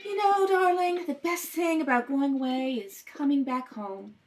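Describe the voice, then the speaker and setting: A woman's voice: high-pitched, normal speed, slight background noise, lightly acted. A woman playing a witty, doting, well-off detective's wife in an old-time radio play, arch and playful. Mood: wry.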